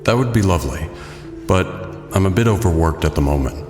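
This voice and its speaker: A man's voice: low-pitched, animated, brisk, echoey room, big-room sound, over music. A man playing a terse, commanding and brooding masked vigilante in an audio drama. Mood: polite, subdued. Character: brooding, intimidating male masked vigilante with secret gentleman side.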